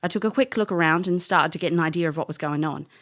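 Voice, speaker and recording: A woman's voice: fairly low pitch, quick pace, lightly acted. An actress playing a cocky, blunt female elf detective in an audio drama. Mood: brisk, confident. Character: brash, wry female elf detective, ex-military. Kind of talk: audio drama dialogue and first-person narration.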